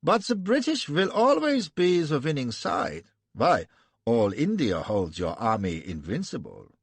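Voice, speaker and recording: A man's voice: medium pitch, huge pitch swings, measured pace, breathy edge, no echo, voice pushed up, heavily acted. A man doing a lisping German princeling. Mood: polite. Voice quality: pimpish, affected lisp. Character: affected young German princeling.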